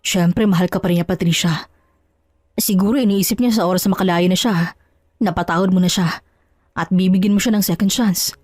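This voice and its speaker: A woman's voice: on the low side, steady tone, fairly quick, pauses often, darkish tone, no room sound, clean studio sound, soft background music, lightly acted. A woman voicing a concerned male friend in an audio drama, sympathetic and reassuring. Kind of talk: Tagalog audio drama acting.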